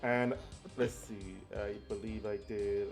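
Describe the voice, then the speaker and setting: A man's voice: fairly low pitch, steady tone, slow pace, vocal fry, faint music behind. A man playing a tabletop game, chatting casually and thinking aloud in a playful, rambling way.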